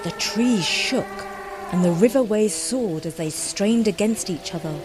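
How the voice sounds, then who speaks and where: A woman narrating a scripted children's story in an expressive, dramatic storytelling style. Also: mid-range voice, a little slow, background noise.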